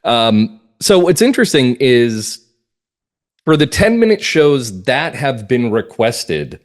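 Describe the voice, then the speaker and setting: A man speaking as a writer being interviewed, reflective and earnest, setting out his views in deliberate, emphatic phrases. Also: mid-range voice, sing-song. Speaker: playwright and audio drama writer, interview guest.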